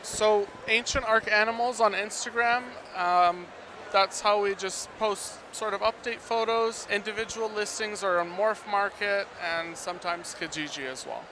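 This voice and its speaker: A man who breeds geckos answering interview questions at an expo in a hesitant, soft-spoken way with lots of fillers. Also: sits high, fairly even intonation, measured pace, noisy background. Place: busy reptile expo hall.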